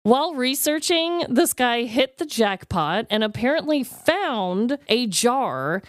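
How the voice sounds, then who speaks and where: Animated, higher-pitched woman podcast host. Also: slow, no echo, studio quality. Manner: animated, reacts with exclamations. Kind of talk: chatty storytelling, reading an article aloud.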